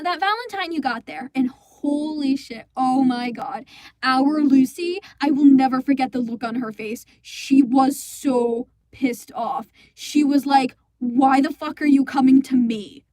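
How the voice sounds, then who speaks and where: A young adult woman telling a story in a casual, animated rant, swearing freely and exasperated, quoting people as she goes. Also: sits high, no room sound. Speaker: storyteller and amateur stage performer. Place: small indoor recording space with acoustic foam.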